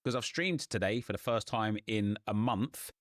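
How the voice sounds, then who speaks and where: A young man, a gaming YouTuber, chatting and ranting casually in a slightly hoarse voice. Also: fairly low pitch, dry recording, studio quality. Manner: chatty, ranting, quick asides.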